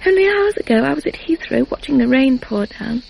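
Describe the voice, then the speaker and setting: An emotional, fragile British woman pouring out her feelings in a radio drama. Her voice is high, breathless and very crackly, with faint noise and chirping insects behind it. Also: lively intonation, brisk, gravelly, a little mellow, heavily acted. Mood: anguished, confessional.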